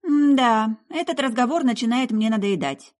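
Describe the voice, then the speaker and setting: A woman voicing an odd, chatty young sorceress in an audiobook, breezy and quick to take offence. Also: a little high, lively intonation, pitched above the performer's own voice. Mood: exasperated. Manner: breezy, indignant, talkative.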